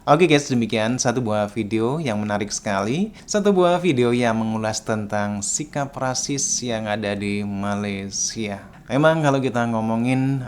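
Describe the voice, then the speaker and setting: A man hosting a reaction video, giving calm commentary and addressing viewers directly. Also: on the low side, clear, resonant tone. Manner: measured, summarizing, addresses viewers as guys. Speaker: reaction channel host.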